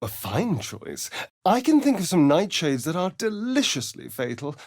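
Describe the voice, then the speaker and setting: A vain, sly vampire spawn for a video game. His delivery is slow and swoops widely in pitch, every phrase arch and sardonic. The sound is close and dry, giving his theatrical drawl full play. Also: wildly swooping pitch, a little mellow, no echo, heavily acted. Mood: arch, teasing. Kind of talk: video game character voice lines.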